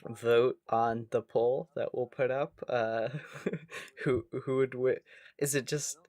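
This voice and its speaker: A man's voice: mid-range voice, steady tone, slow pace, darkish tone, dry recording. A man co-hosting a comedic podcast, chatting casually and easily amused. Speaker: podcast co-host.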